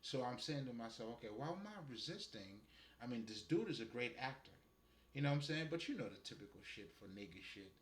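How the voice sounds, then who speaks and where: A man's voice: medium pitch, lively intonation, quick pace, roomy sound. A man with a Haitian accent talking loosely and profanely about spirituality, laughing often. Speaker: spiritual guide. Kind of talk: casual spiritual monologue.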